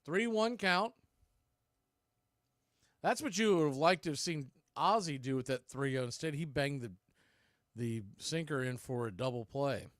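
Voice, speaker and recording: An American man doing live baseball play-by-play in clipped, punchy calls with pauses, mixed with casual fan chat. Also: a little high, a bit bright, no room sound, studio quality.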